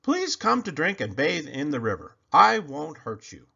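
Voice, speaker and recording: A man voicing a big selfish male crocodile in a storybook reading, loud and drawn-out. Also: very animated, gravelly, breathy edge, exaggerated voice. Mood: friendly grateful. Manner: loud, booming, drawn-out threats. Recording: slightly muffled recording.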